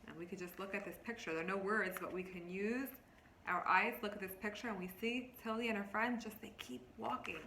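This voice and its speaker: A woman teacher reads a picture book aloud to children, expressively, pausing to explain words and ask them questions. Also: mid-range voice. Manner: expressive, instructive, addressing children directly. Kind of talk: read-aloud storytelling with explanations and questions to young listeners. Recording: roomy, echoing, faint background noise.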